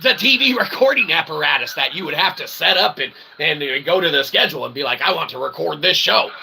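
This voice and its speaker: A man's voice: fairly high pitch, lively intonation, a little fast. A man delivering a rambling comedic pseudo-philosophical monologue as a streamer, mixing mock-formal wordy phrasing with crude swearing and short tag questions. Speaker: solo streamer and channel host. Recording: clearly noisy, with ambient background sound.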